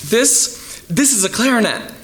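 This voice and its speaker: A man's voice: very high voice, animated, measured pace, heavy background noise. A man playing a naive, flippant young musician in a comic radio play, wry and offhand. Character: young jazz clarinettist, naive, flippant, unimpressed outsider.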